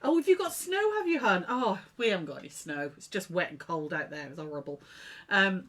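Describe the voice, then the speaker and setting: A woman's voice: fairly low pitch, animated, fairly quick. A middle-aged woman hosting a live craft stream from her chilly home sewing studio. She sounds snuffly and blocked up, chatting casually with plenty of ums and affectionate greetings to her viewers. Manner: chatty, warm greetings to viewers, many fillers. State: stuffy nose, snuffly. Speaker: craft shop host.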